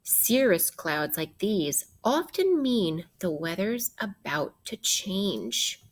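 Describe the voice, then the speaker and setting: A woman teacher reading a children's science book aloud in a deliberate way, putting questions directly to young listeners. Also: mid-range voice, very animated.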